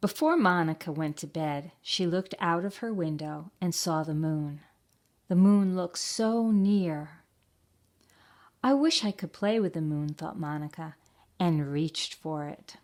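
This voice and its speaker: A woman reading aloud and talking simply and gently to young children as a storytime leader. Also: fairly low pitch, unhurried. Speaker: children's library storytime reader.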